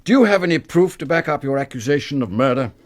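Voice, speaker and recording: An actor playing an English colonel and magistrate in a radio drama, authoritative, measured and dryly sarcastic. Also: male voice, fairly high pitch, expressive, close to an everyday voice. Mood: dry, sceptical. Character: dry, sceptical English colonel and magistrate, man, brisk authority.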